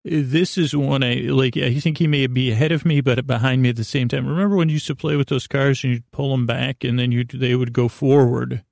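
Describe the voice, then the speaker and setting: A man hosting a podcast with a rambling comedic bedtime monologue, halting and easily distracted, often trailing off mid-thought. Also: medium pitch, steady tone, creaky, a little mellow, no room sound, studio quality. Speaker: podcast host.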